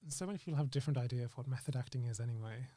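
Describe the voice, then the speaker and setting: A man's voice: medium pitch, racing, warm tone, slight background noise. A man speaking as an interview guest in casual, reflective chat, earnestly thinking aloud in broken phrases. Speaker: interview guest, actor and screenwriter.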